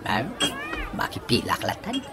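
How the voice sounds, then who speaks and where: An actor playing a boastful old pensioner with a young wife in a comedy radio drama. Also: male voice, a little slow, a crowd in the background, natural voice. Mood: smug, teasing. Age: older. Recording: radio drama with music underneath.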